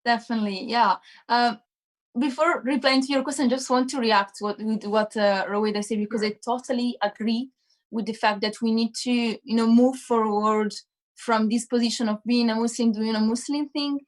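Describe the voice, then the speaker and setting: A woman's voice: on the high side, fairly even intonation, moderate pace. A young woman activist, originally from Italy, speaking candidly in a panel discussion with many fillers. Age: young adult. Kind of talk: panel discussion on a live stream.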